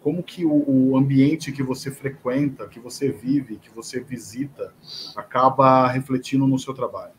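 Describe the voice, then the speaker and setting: A middle-aged man of average pitch putting long questions to his guest over a video call. He is hesitant, often stopping and restarting his sentences. A faint background hiss comes from his home room. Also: fairly even intonation, normal speed, low-quality recording. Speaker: interview host. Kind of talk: casual interview questions. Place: home room with bookshelves, indoors.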